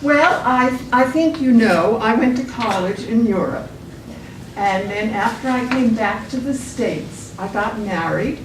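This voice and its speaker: A woman's voice: fairly high pitch, expressive, a little slow. A woman playing a boastful, well-to-do reunion guest who gushes and shows off in an amateur comedy skit. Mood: gushing, boastful. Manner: gushing, showing off. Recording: roomy, distant, noisy live stage recording.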